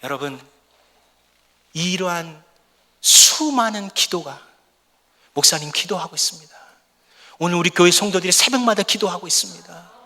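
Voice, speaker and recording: Hoarse, fervent missionary man preaching. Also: high voice, moderate pace, long pauses. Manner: fervent, impassioned preaching that swells to a shout. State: hoarse from a lingering throat cold. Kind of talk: mission report sermon. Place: church sanctuary. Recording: reverberant church hall.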